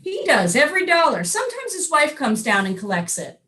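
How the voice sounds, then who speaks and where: A woman's voice: sits high, wildly swooping pitch, brisk, bright, forceful tone, exaggerated voice. A woman playing an unflappable baseball team manager in a staged comic dialogue, answering in an emphatic, matter-of-fact way. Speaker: amateur readers theater performer.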